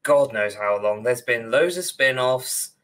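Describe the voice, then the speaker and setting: Male podcast co-host, young adult, bright forceful voice, slow pace, lively swings in pitch, casual. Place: small room at home, on a video call.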